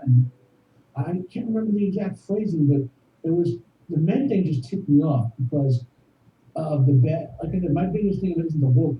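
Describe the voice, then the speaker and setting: Blunt exasperated man, casual opinionated chat, echoing room, off-mic, faint noise. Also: a little high. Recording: distant, muffled, echoey, slightly noisy clip.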